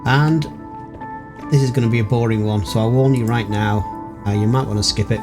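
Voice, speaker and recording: Low-voiced, low-key male gaming host. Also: steady tone, brisk. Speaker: let's play host. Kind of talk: casual gaming commentary. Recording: music under the voice.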